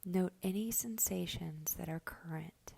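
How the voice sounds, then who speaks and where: A woman guiding a meditation in a soft, breathy voice that is often close to a whisper, giving gentle, slow instructions with long pauses. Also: on the low side. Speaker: guided meditation host. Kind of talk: slow guided meditation narration.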